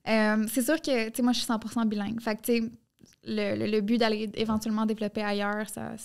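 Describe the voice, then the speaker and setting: A woman's voice: a little high, little pitch movement, quick pace, smooth. A young woman with a Québécois French accent, chatting as a podcast guest in run-on bursts full of "tu sais". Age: young adult. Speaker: podcast guest, marketing entrepreneur. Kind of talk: casual interview chat.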